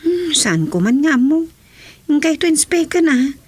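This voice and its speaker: Radio serial: disgruntled village vendor, Ilocano Filipino, high-pitched complaints, dry studio sound. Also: female voice, very animated, a little slow, a little mellow, no room sound. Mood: aggrieved. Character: disgruntled, complaining woman vendor gossiping about a rival. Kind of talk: comedy radio drama acting.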